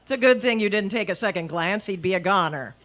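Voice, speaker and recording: A woman playing a quick-witted schoolteacher with a dry, arch manner in an old-time radio comedy. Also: mid-range voice, expressive, a bit bright, lightly acted. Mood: wry, pleading. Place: live stage performance at a radio history event.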